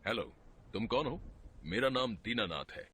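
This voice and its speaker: A man's voice: medium pitch, animated, normal speed, fairly bright tone, slightly rough. A man voicing a rich, kindly city merchant in a cartoon story, speaking in a friendly, assured way.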